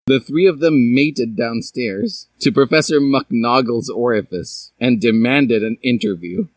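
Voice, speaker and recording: A man narrates a story with theatrical comic flair. His mid-range voice swings widely in pitch, and the sound is dry and close. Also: expressive, unhurried, no room sound, rough recording. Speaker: reader of a fan fiction story aloud. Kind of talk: dramatic comic story reading.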